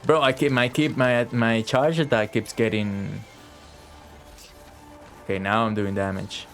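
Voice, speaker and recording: A man giving casual live commentary as a game streamer, reacting out loud and swearing freely. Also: on the low side, steady tone, measured pace. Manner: profane, reactive, talks to game and viewers. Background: game music.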